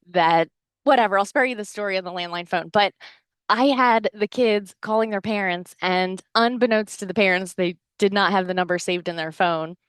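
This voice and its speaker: An American woman in middle age talks in a casual, motivational podcast style. Her pitch sits in an average range for a woman, and she is chatty and encouraging, often checking in with the listener. The sound is dry, with very little room echo. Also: clear, resonant tone. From New Jersey shore, United States. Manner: chatty, encouraging, conversational asides with frequent "right?". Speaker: wellness podcast host.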